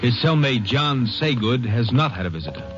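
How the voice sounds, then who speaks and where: A man's voice: mid-range voice, big pitch swings, moderate pace. A man narrating a 1950s radio crime drama in a dramatic, scripted style. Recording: muffled vintage radio recording. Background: music.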